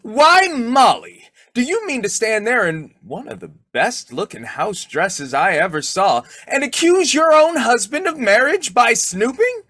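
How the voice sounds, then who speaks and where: A man's voice: very high voice, sing-song, measured pace, few pauses, bright, forceful tone, no echo, studio quality, exaggerated voice. A man playing a sly, childlike, blustering husband in old-time radio comedy style, muttering and stammering. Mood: indignant protesting. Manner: muttering to himself, stammering, exclaiming.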